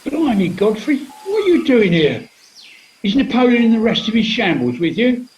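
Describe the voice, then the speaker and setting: A man plays a brash, irritable grouch in a radio comedy. He is loud and mocking, with an extremely high voice that jumps around. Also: sing-song, moderate pace, low-quality recording. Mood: irritated. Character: brash, irritable, shouty civilian foe. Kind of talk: comic radio play acting.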